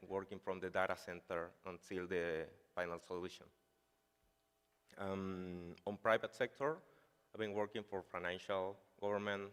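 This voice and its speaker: Deep-voiced Chilean man giving a conference talk. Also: fairly bright tone, clear, resonant tone. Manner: hesitant, many fillers like "like" and "uh". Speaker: conference speaker. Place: large conference hall. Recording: reverberant hall sound.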